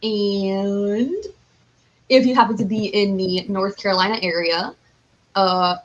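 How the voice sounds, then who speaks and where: A woman's voice: medium pitch, fairly even intonation, slow pace, highs cut off. A young adult American woman chatting casually as a podcast guest, telling stories in a giggly way. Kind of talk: casual chat.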